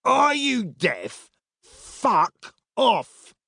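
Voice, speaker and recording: A man voicing an irritable, hoarse Roman centurion in an audiobook, gruff and coarse in the way he talks. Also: on the high side, wildly swooping pitch, extremely slow, pushed and bright, no room sound, studio quality, voice pushed up, exaggerated voice. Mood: irritated.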